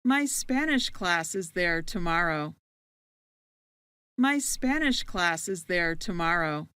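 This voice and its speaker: A woman playing a friendly new student in a slow scripted dialogue for English learners, saying each line twice. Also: lowish voice, animated, pushed and bright, smooth, dry recording, studio quality, heavily acted.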